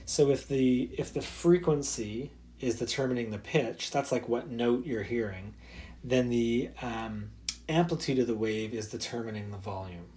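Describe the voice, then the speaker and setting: A man teaching a physics lesson in a recorded slide talk. He keeps it loose and chatty, full of ums and small restarts. His voice sits at an ordinary middle pitch. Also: moderate pace, a little mellow. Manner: casual, loose explaining with many fillers. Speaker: high school physics teacher.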